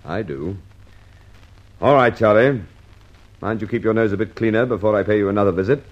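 An actor playing a dry, shrewd police inspector in an old radio drama, questioning in a wry, probing way. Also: male voice, lowish voice, brisk, highs cut off, lightly acted. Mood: stern, sardonic.

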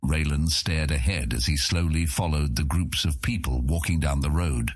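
A man's voice: extremely low pitch, normal speed, dry recording, studio quality. A man reading scripted fantasy narration as an audiobook narrator.

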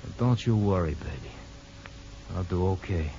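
A man's voice: sits low, lively intonation, normal speed, warm tone. Tough male convict, curt, slangy, scornful, old radio crime melodrama, dull sound with faint noise. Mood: bitter, dismissive. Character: gruff, sneering male convict, the jilted tough boyfriend. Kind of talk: 1950s radio drama acting. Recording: muffled, noisy old radio broadcast.

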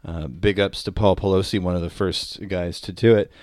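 A man's voice: low-pitched, fairly even intonation, normal speed, a little mellow, dry recording, clean studio sound. A man co-hosting a podcast in casual comedic talk, explaining things in a wry, opinionated way. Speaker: podcast co-host.

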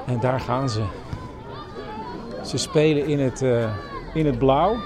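Man, podcast host, casual on-location reporting, medium pitch, outdoor crowd noise. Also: slow. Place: mostly outdoors at a small football ground. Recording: outdoor football crowd, music behind.

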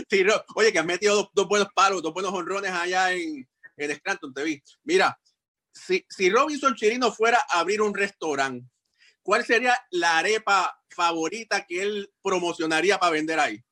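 A man hosts a sports podcast and asks his questions in a friendly, chatty tone, opening with casual fillers. His voice sits somewhat high for a man. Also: normal speed, pushed and bright. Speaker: podcast host, interviewer.

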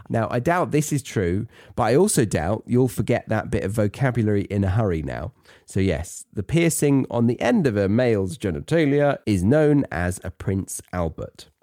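Animated, low-voiced British man. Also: moderate pace, no room sound, clean studio sound. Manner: playful, tongue-in-cheek asides. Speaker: podcast host teaching English to non-native learners. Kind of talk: chatty solo podcast monologue. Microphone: close podcast microphone in a dry room.

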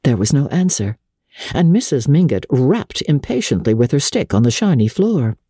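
Husky, expressive woman narrator. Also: very deep voice, no echo, studio quality. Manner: measured, expressive storytelling. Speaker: audiobook narrator. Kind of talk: dramatic scripted literary narration.

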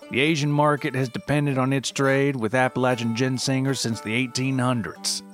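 A man reading a scripted documentary-style story in a deadpan, measured, mock-serious manner. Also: mid-range voice. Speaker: writer and narrator.

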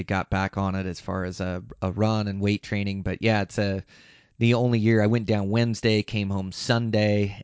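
Male American podcast host, low voice, slightly hoarse and worn out, loose rambling monologue, somewhat muffled recording. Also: a little mellow. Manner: rambling, informal, hesitant phrasing. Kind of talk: casual podcast outro monologue. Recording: echoey room, slightly dull sound.

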